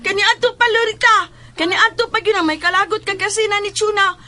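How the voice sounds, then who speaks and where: A woman's voice: very high voice, fairly even intonation, fast talker, sharp tone, a little hiss or hum. A woman playing a spiteful, boastful rich schoolgirl bully who taunts vainly in a melodramatic audio drama. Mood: taunting. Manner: taunting, vain.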